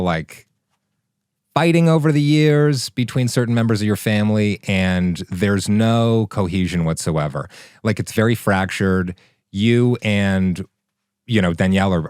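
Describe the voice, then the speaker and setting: A man's voice: fairly low pitch, a little mellow, clean tone, no echo, studio quality. A young man co-hosting a casual podcast, wry and teasing. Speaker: podcast co-host. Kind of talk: casual chat.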